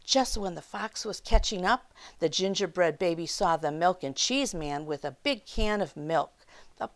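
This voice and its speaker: A woman reading a picture book aloud to young children, expressive and dramatic, with questions and hushed asides to the listeners. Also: fairly low pitch, a little slow. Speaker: children's storyteller.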